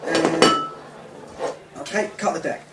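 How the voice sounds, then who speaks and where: A woman performing in a comedy sketch, speaking with a deliberately fake Norwegian accent and stiff, formal phrasing. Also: deep voice, wildly swooping pitch, very noisy. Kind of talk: scripted comedy sketch acting. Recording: roomy echo, distant mic.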